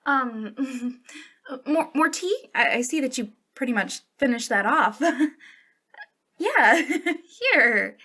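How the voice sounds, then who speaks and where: A woman's voice: sits high, lively intonation, slow, pushed and bright, full character voice. A woman playing a centuries-old, youthful-looking witch in an ASMR roleplay, confiding coyly and hesitantly with a giggly, sinister playfulness. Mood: coy, solicitous. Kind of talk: ASMR roleplay monologue addressed to the listener.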